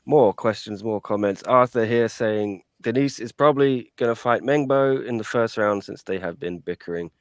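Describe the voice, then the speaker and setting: A man hosting a podcast, relaxed and conversational as he reads out listener comments. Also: lowish voice, normal speed, few pauses, no room sound. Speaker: podcast host. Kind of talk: casual chat, reading listener comments.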